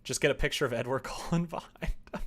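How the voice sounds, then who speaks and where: A man's voice: mid-range voice, fast talker. A man casually livestreaming as he works on a visual novel, rambling and muttering to himself with joking asides. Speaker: live streamer developing a visual novel. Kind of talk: casual livestream chat while working.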